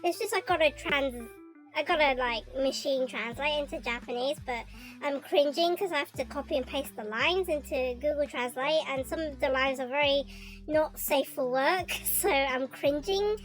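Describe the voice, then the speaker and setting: A woman's voice: sits high, normal speed, faint music behind, rough recording. A young woman streaming her art from her bedroom, chatting casually and rambling with lots of fillers, her throat still a little sore from a cough. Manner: rambling and hesitant, with many fillers. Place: bedroom at home.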